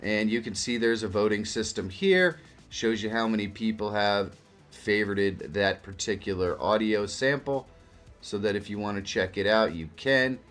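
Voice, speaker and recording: A man hosting a casual software walkthrough as a video tutorial. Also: on the low side, normal speed. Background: background music.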